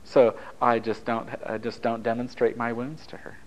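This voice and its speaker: A man's voice: mid-range voice, flat delivery, normal speed, rough recording. A man from Italy whose first language is Japanese, leading a taped relationship workshop in a conversational, anecdotal way, joking with the group. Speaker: workshop leader.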